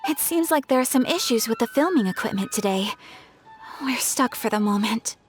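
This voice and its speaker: Woman acting an eager, high-voiced young woman. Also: animated, moderate pace, faint music behind, lightly acted. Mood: earnest. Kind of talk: anime video game voice acting.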